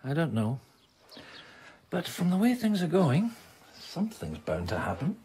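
An actor playing a detached, slightly awkward houseguest with a dry, hesitant manner in a radio drama. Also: male voice, fairly high pitch, wildly swooping pitch, a little slow, exaggerated voice. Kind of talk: British radio drama acting.

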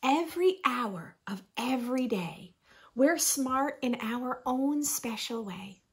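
A woman in her thirties reading aloud expressively for young children, with playful emphasis and deliberate phrasing. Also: high-pitched, animated, husky. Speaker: host of a children's read-aloud channel. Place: small furnished room indoors.

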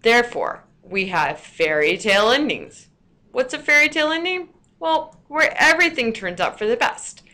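A woman narrating a scripted lesson as a teacher, with deliberate, storyteller-like emphasis. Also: a little high, very animated, sharp tone.

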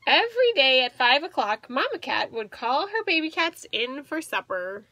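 A woman in her thirties reading a storybook aloud to children as a teacher, expressive and asking the listeners questions. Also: high-pitched, moderate pace, no echo. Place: small indoor room with bookshelves.

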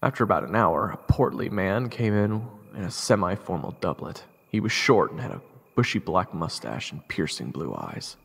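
Man acting lively young fantasy soldier, low and creaky. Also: normal speed, hardly any pauses, heavily acted. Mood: grave, narrating. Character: Xander, curious, compassionate young male army private. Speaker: narrator and lead actor in an audio roleplay. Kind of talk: fantasy audio drama, first-person narration and dialogue. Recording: reverberant, with music underneath.

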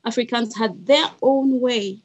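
A woman's voice: fairly high pitch, unhurried. Ugandan woman speaking thoughtfully, hesitantly. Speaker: guest panelist, wildlife researcher. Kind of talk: video call debate. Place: small indoor room. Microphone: headset on a video call.

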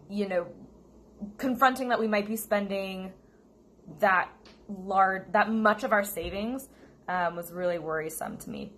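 An American woman talking casually to viewers as a vlog co-host. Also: lowish voice. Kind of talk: casual chat to camera. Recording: steady noticeable background noise.

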